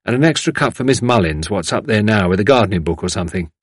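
A man's voice: fairly low pitch, lively intonation, quick pace, breathy edge, no echo, clean studio sound. A man voicing an obliging, plain-spoken male servant with a deferential, colloquial manner in an audiobook. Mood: matter-of-fact. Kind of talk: audiobook character voice.

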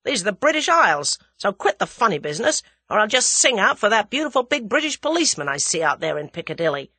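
A woman's voice: mid-range voice, sing-song, fast talker, sharp tone, no room sound, pitched above the performer's own voice, heavily acted. A woman voicing a brash, impatient American man in his thirties, blunt and slangy, in a storybook reading. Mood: suspicious, threatening.

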